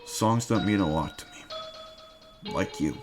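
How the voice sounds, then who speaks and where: Guy playing a shy romantic hero, deep voice, soft-hearted, music behind him. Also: slow. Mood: shy, affectionate. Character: tender, reticent male hero in love. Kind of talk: amateur dramatic reading. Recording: music bed under the voice.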